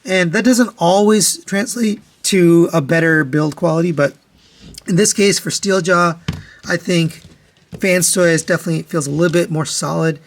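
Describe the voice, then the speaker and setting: Higher-pitched man reviewing products casually. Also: steady tone, normal speed. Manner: matter-of-fact, conversational, step-by-step explaining. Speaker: toy reviewer, host.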